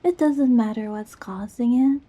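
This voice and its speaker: Soft, high-pitched, affectionate girlfriend played by a woman. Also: unhurried. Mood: tender, reassuring. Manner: playful, rambling, tender, reassuring. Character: goofy, affectionate young girlfriend comforting scared partner. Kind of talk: ASMR girlfriend roleplay, intimate one-sided dialogue.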